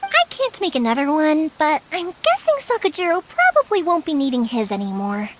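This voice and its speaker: A woman voicing a cheerful, talkative, warm-hearted young fireworks maker in an English video game, chatty and encouraging. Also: sits high, huge pitch swings, a little fast, low-quality recording. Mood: friendly, consoling. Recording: music behind the voice.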